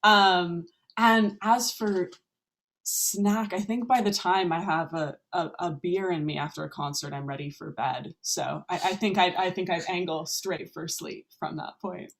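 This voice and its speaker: A woman from Vancouver who plays in a string quartet talks through her answers with thoughtful pauses. Her voice sits fairly low for a woman. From Vancouver, Canada. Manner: thoughtful, with frequent ums and restarts. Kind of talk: casual interview answers.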